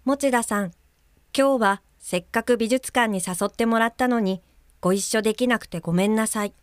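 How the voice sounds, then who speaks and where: An actress playing a gentle guide helper for the blind who reads a letter aloud carefully in a radio drama. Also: female voice, a little high, moderate pace, no echo, studio quality, close to an everyday voice.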